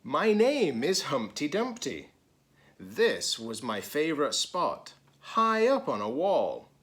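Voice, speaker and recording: A man voicing a wistful, anxious storybook egg who recounts his fall in an earnest, deliberate way. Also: a little high, huge pitch swings, fairly bright tone, pitched above the performer's own voice, exaggerated voice. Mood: wistful, fond.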